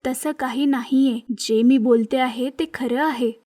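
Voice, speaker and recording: Young widowed mother, high voice, earnest and frank, played by a woman narrator, animated moral story. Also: measured pace. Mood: frank, firm.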